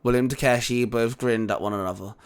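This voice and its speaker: Mid-pitched man narrating fan fiction. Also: fairly even intonation, a little fast, clear, resonant tone.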